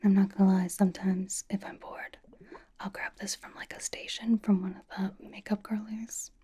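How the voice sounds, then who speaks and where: A young female pop idol, acted by a woman, whispers in an intimate ASMR roleplay. Her voice is soft and mellow with very little rise or fall in pitch. She is casual and teasing, yet reassuring as she pampers the listener. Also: flat delivery, moderate pace. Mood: playful, teasing. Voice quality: breathy whisper.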